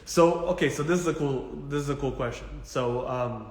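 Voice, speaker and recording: A man giving casual, informal health advice as a physical therapist answering questions, in a blunt, jokey, animated way with emphatic repetition. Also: medium pitch, normal speed. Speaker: physical therapist hosting a live Q&A. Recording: echoey, reverberant room.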